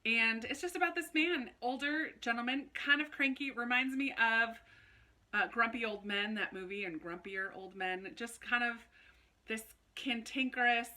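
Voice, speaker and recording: A woman chatting casually to camera like a book vlogger, in an animated, informal way with little self-interrupting asides. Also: fairly high pitch, normal speed. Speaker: book review video host. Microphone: phone camera recording.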